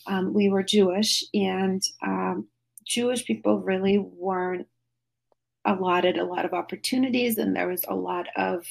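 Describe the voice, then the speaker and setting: A woman's voice: mid-range voice, fairly even intonation, a little slow, vocal fry. A middle-aged woman from Russia, a podcast guest, talking in a chatty, rambling way with many fillers and restarts over a call. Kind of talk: casual remote interview. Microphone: phone or video call.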